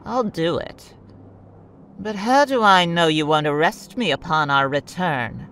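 A woman's voice: low voice, unhurried, a bit bright, a little hiss or hum. A woman playing a wary, sardonic former villain turned ally, dry and guarded, in a sci-fi audio drama. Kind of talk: fan audio drama acting.

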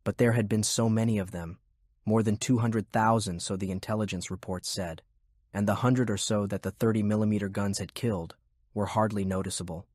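Guy narrating a war audiobook, low husky voice, super dry sound. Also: darkish tone, no echo. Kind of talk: scripted story narration.

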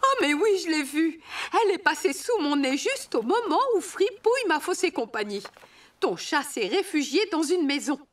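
A woman's voice: sits high, very animated, moderate pace, far from the mic, slight background noise. A woman voicing an exclamatory bear character in a preschool cartoon, heard slightly away from the mic. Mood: helpful, searching. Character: animated cartoon bear searching for a runaway pet. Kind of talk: French preschool cartoon acting.

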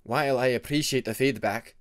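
A man's voice: mid-range voice, fairly even intonation, a little fast, lightly acted. A man voicing a proud young male ice dragon who speaks formally, in an audiobook story. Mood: politely proud.

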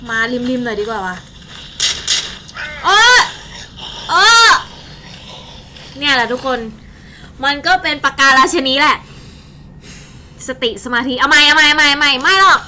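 A woman's voice: high-pitched, measured pace, long pauses, noisy background. A young adult woman from Thailand hosting a game stream with casual, chatty, informal commentary. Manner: chatty and informal, exclaiming in play. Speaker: game channel host. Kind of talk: casual live gameplay commentary. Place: indoor streaming setup at a desk.

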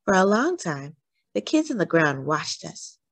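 A woman reading a children's picture book aloud expressively, with dramatic, deliberate phrasing. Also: fairly low pitch, sing-song, no echo. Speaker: story reader, host of a read-aloud channel.

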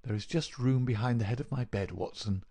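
A man voicing an ailing male detective in an audiobook, feeble but lucid, crisp and masterful. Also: mid-range voice, brisk, warm tone, natural voice. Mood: crisp, weak. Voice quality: feeble, slightly raised. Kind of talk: audiobook character voice.

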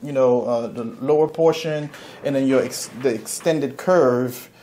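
A middle-aged male chiropractor talks a patient through an exam at an average pitch. He keeps checking in with short questions. Some room noise sits behind his voice. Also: measured pace, mellow. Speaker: chiropractor, video host. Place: small indoor clinic treatment room. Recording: clear background room noise.

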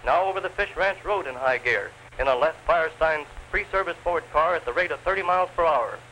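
A man's voice: on the high side, a little fast, bright, forceful tone. A man narrating a 1930s newsreel advertisement in a declamatory salesman's delivery. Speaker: promotional film narrator. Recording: noisy 1930s film soundtrack.

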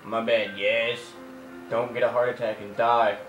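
A man's voice: medium pitch, little pitch movement, unhurried, a bit bright. A man doing casual, joking gameplay commentary in a hyper, goofy way as a let's play host. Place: room at home, beside a TV playing the game. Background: video game music from a TV.

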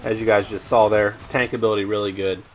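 A man commentating over his own gameplay, chatty and rambling with ums and restarts, his voice slightly raspy today. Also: fairly low pitch, little pitch movement, fast, soft background music. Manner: rambling, frequent ums and restarts, addresses viewers as guys. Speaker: gaming YouTuber.

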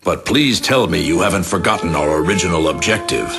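A stern, measured male companion in a game cutscene. His voice is deep, with clear music playing behind it. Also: expressive. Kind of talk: video game cutscene acting.

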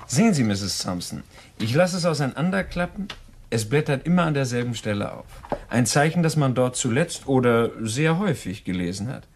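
An actor playing a dry, inquisitive gentleman guest in a crime radio play, pointed and pedantic. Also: medium pitch, lively intonation, brisk, slight background noise, lightly acted. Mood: needling.

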